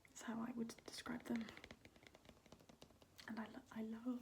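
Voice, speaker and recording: A woman whispering in a breathy, unvoiced voice, chatting casually and hesitantly in an ASMR style as a video host. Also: on the high side, completely flat delivery, a little slow, noisy background. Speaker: video host showing a record collection.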